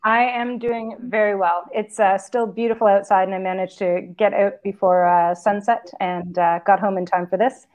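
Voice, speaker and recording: A woman's voice: mid-range voice, fairly even intonation, normal speed, few pauses, a little mellow, poor audio. A woman making casual small talk as a guest on a live chat show.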